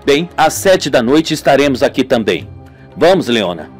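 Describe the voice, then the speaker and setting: A man playing an easygoing, amused policeman in a radio melodrama, with a relaxed, teasing manner. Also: medium pitch, moderate pace, faint music behind, pitched below the performer's own voice.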